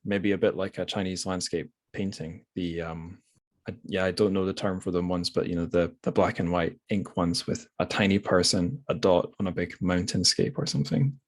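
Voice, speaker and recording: A man hosts a relaxed book podcast. He talks casually and hesitantly, wandering through his thoughts as he goes. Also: low voice. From Scotland. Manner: hesitant, rambling, thinking aloud. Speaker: podcast host. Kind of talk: casual literary interview.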